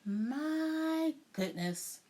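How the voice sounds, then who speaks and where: A woman voicing a kind, sweet old woman in a storybook, with a gentle, folksy manner. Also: high-pitched, lively intonation, drawn-out words, voice pushed up, exaggerated voice. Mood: concerned, kindly.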